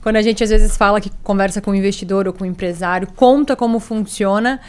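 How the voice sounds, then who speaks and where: A woman's voice: medium pitch, fairly even intonation, fast, smooth. A woman hosting a podcast interview, asking long chatty questions. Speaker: podcast host.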